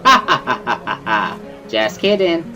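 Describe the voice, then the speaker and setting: A man voicing a bubbly, loud teenage schoolgirl who teases in a gushing, sing-song way. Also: mid-range voice, very animated, normal speed, music in the background, pitched above the performer's own voice, close to an everyday voice. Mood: playful threat.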